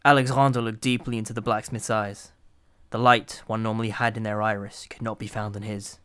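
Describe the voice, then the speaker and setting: A man's voice: fairly low pitch, little pitch movement, brisk, a bit bright, smooth. A man narrating a scripted dramatic short story in an audio drama.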